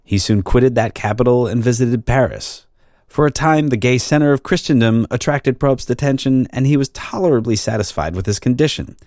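A man reading an old crime story as narrator, in a measured, formal storytelling manner. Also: medium pitch, darkish tone, no echo, clean studio sound.

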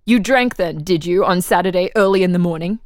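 A woman's voice: on the low side, fairly quick, dry recording, studio quality, close to an everyday voice. A woman playing an official interrogator who puts formal, leading questions in a dramatic reading of a transcript. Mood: probing, formal. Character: probing, insistent official interrogator questioning a murder suspect.

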